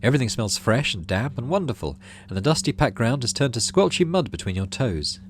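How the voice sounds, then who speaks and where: A man's voice: mid-range voice, sing-song, fairly quick, slight background noise. A man hosting a gaming playthrough, reading story text aloud and adding casual commentary. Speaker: gaming channel host.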